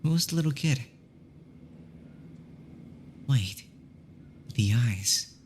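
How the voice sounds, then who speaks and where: A very deep-voiced young investigator played by a woman in an animated mystery, reserved and level-headed. The delivery is understated, with faint noise behind it. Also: drawn-out words, heavily acted. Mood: calm, considerate. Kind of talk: voice acting in an animated murder mystery.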